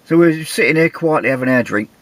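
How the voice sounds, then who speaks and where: Gravelly English man spinning a yarn. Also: fairly high pitch, fast, fairly bright tone, dry recording. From England. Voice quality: gravelly, husky. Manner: chatty, wry, self-mocking. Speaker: vlogger telling a travel story. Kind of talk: casual anecdotal storytelling.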